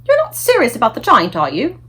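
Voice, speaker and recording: A regal storybook queen, played by a woman reading aloud. Her voice is high, slightly husky and leaps widely in pitch. She is precise and well-mannered, exclaiming in surprise while holding on to her composure. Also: huge pitch swings, fairly quick, fairly bright tone, full character voice. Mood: composed, questioning. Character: regal queen, startled yet self-controlled, well-mannered.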